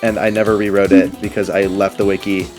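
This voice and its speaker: A man's voice: lowish voice, flat delivery, brisk, darkish tone. Rambling young man over game music. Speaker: gaming video host. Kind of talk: casual chatty game commentary with friends. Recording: background music under the voice.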